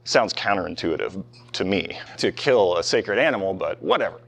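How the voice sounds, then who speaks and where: A man's voice: low voice, lively intonation, normal speed, gravelly, breathy edge. A man lecturing on history as a professor, talking conversationally with rhetorical questions and casual asides to his listeners. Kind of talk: informal classroom history lecture with questions to the students. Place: live talk in front of a small audience.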